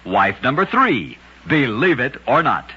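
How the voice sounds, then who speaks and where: A man hosting and narrating short scripted oddity stories on an old-time radio show, telling them briskly and emphatically with punchy endings. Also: medium pitch, big pitch swings, background noise. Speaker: radio host and storyteller. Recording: lo-fi vintage radio recording.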